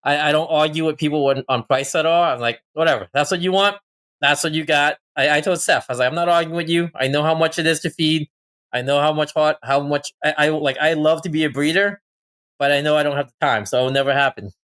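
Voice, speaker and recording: A man's voice: fairly high pitch, steady tone, fast talker, fairly bright tone. A man from Thailand chatting casually as a podcast guest, telling personal stories as a falconer. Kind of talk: casual personal storytelling and chat.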